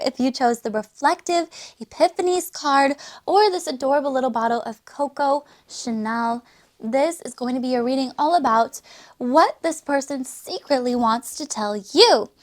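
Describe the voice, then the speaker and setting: A woman's voice: sits high, moderate pace. A woman giving a casual, chatty tarot reading, losing her voice a little, with frequent fillers and laughs. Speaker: tarot reader.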